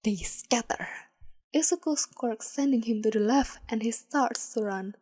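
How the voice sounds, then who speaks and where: A woman reading fan fiction aloud as a podfic, with calm, measured storytelling. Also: on the high side, breathy edge. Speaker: podfic reader.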